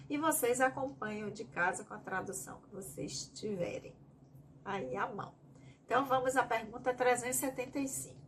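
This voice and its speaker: A woman from Bahia with a Bahian accent leads a study group, reading each question aloud and then explaining it at length. Her voice sits a bit high. A faint hiss runs under it. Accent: Bahian Brazilian Portuguese. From Bahia, Brazil. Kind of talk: teaching a spiritist study group.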